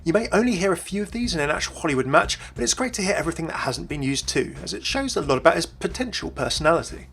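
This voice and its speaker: A man's voice: fairly high pitch, big pitch swings, fast, creaky, few pauses, fairly bright tone, a little hiss or hum. A man reading scripted narration as the presenter of a gaming video. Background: background music.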